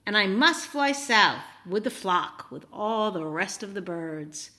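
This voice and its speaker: A woman's voice: medium pitch, expressive, slow pace, pushed and bright, pitched below the performer's own voice. A woman voicing a small, friendly, practical bird in a storybook, with a matter-of-fact manner. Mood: regretful.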